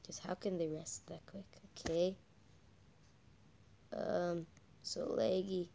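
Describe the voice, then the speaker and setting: A woman doing casual, halting game commentary as a channel host, her voice croaky from a cold. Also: fairly low pitch, flat delivery, dark tone. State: croaky with a cold, coughing and throat-clearing.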